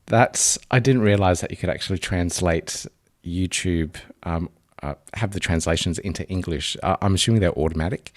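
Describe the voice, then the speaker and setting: A man hosts a podcast interview and asks his questions tentatively. His voice sits very low. In between he gives short affirming replies. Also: normal speed. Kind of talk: interview conversation.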